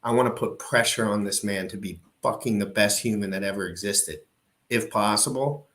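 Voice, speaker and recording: A man giving brash, profane, excitable commentary while reacting to an old crypto video. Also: lowish voice, normal speed.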